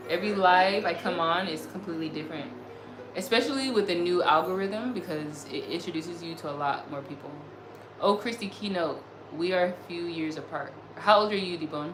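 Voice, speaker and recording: A woman in her early thirties hosts a casual livestream, her voice a little low for a woman. She answers comments earnestly and effusively, often circling back to repeat a point. The room sounds echoey, and music plays clearly behind her. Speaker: livestream host. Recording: roomy echo, music behind the voice.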